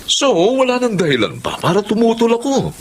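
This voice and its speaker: A man's voice: extremely high pitch, wildly swooping pitch, a little fast, heavily acted. Filipino father figure in his sixties, doting, folksy, swooping intonation, chatty, family drama, faint noise behind. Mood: eager, fatherly. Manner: fatherly, chatty, full of interjections. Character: doting, folksy sixty-year-old Filipino stepfather. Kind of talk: Filipino radio-style family drama acting.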